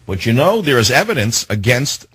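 A man hosting a talk radio show, delivering a sarcastic, indignant monologue with theatrical swings in pitch. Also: sing-song, moderate pace, fairly bright tone, slightly rough, dry recording, low-quality recording.

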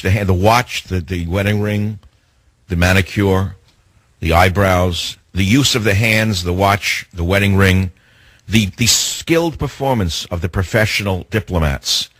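A man hosting a talk radio show, delivering a sarcastic, indignant monologue with theatrical swings in pitch. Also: measured pace, a bit bright, slightly rough, dry recording.

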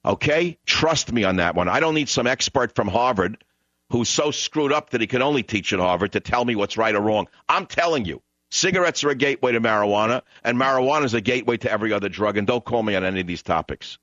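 A man's voice: medium pitch, lively intonation, fast talker, fairly bright tone, no room sound. A man hosting a call-in radio talk show, emphatic and combative, repeating lines for effect. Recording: dull radio broadcast sound.

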